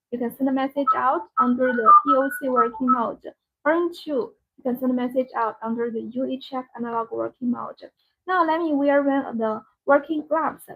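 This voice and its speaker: High-voiced woman, husky, halting sales demo. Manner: halting, repetitive, non-native English phrasing. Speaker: live-stream sales host demonstrating a product. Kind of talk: unscripted live product demonstration. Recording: dull, band-limited live-stream audio.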